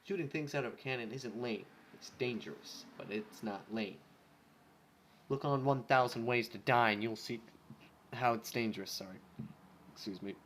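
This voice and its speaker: A man's voice: medium pitch, moderate pace, fairly bright tone, slight background noise. A young man reading fan fiction casually, with offhand, self-deprecating comments and stumbles over his words. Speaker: amateur fan fiction reader.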